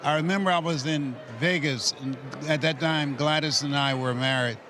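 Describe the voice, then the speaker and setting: A man's voice: fairly high pitch, steady tone, moderate pace, crowd noise. A man of eighty giving motivational encouragement in conversation as a guest, in a preacherly way full of maxims. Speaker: motivational speaker, interview guest.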